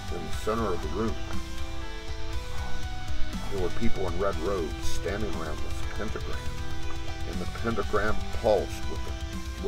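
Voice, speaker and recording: A man playing a haunted Marine veteran who recounts a horror tale in a dramatic, halting way for an audio drama. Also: low-pitched.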